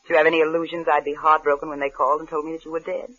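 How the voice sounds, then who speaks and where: Sharp, scornful radio-drama wife. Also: female voice, low voice, flat delivery, racing. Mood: cool, mocking. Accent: American. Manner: cutting, sardonic. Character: cold, hard, scornful wife with mocking composure. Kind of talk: 1950s radio suspense acting. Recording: muffled old radio recording.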